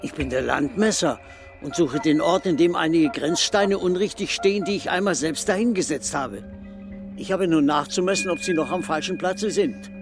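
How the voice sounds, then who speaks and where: An actor playing an eerie, menacing male land surveyor apparition in a radio play, speaking slowly and solemnly. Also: on the high side, lightly acted. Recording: radio drama with music behind.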